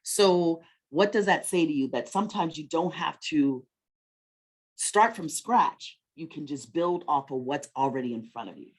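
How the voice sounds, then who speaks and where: Lower-pitched woman hosting children's story time. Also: animated, measured pace. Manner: encouraging, teacher-like, echoes the child's answers. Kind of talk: conversational presenting to children, with leading questions.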